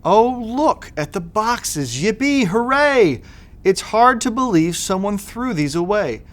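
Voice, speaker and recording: A man's voice: sits high, very animated, takes it slowly, pitched above the performer's own voice, full character voice. A man voicing a playful, eager little girl in a children's storybook, with a bouncy rhyming delivery. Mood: excited. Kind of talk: children's storybook read-aloud.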